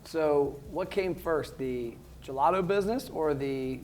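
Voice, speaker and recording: A man's voice: fairly high pitch, a little slow, a little mellow, a little hiss or hum. A man hosting a casual interview, introducing his guest with enthusiasm. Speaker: host.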